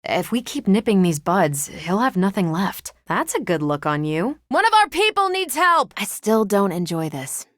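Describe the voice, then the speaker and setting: A woman's voice: medium pitch, sing-song, normal speed, hardly any pauses, fairly bright tone, no room sound, clean studio sound. A woman voicing a confident, wry young superhero soldier with an American accent, in casual, self-assured video game banter. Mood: determined, energetic.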